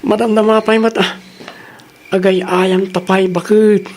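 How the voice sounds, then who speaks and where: Radio drama husband, Filipino accent, male actor as a playful, eager young employee, very high-pitched, slight background noise. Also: flat delivery, measured pace. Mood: amorous.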